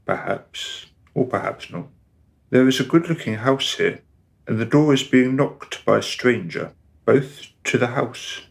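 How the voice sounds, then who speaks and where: A man playing the narrator of a radio play, telling the story in a measured, literary and wry manner. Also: medium pitch, gravelly.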